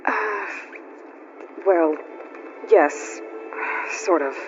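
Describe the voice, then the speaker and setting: Low-voiced, guarded young adventurer woman. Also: very slow. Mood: hesitant, grave. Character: determined, guarded young female adventurer, bluntly confiding. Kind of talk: naturalistic video game dialogue. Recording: muffled game audio under loud music.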